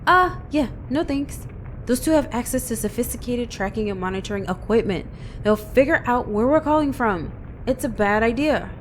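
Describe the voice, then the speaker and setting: A crude, self-assured mercenary captain, voiced by a woman, who swears without a second thought. Her voice sits a little high. A faint hum runs underneath. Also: expressive, normal speed, lightly acted. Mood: blunt. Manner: blunt, swears casually. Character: brash female mercenary captain, crude and sure.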